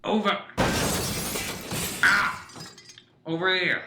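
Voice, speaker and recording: A man playing a gruff, suspicious working-class Southerner in a satirical radio play, blunt and blustery. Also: sits high, fairly even intonation, very slow, full character voice. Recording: echoey room with faint noise.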